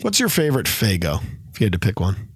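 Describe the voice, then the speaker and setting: A man co-hosting a podcast in casual chat, rambling with restarts and fillers, his voice a little gravelly after being sick. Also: fairly low pitch, wildly swooping pitch, fast talker, no echo, clean studio sound. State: slightly gravelly after illness. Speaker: podcast co-host.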